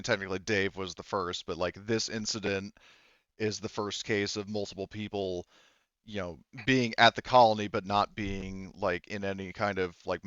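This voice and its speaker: A man's voice: deep voice, a bit bright, clear, resonant tone, no echo, muffled recording. A man running a tabletop roleplaying game, explaining things in a rambling, conversational, improvised way. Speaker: game master.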